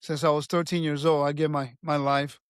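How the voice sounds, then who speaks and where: A man's voice: a little high, level tone, fairly quick, smooth, no echo, studio quality. A man in his fifties from Puerto Rico, a podcast guest earnestly telling his life story with many restarts and fillers.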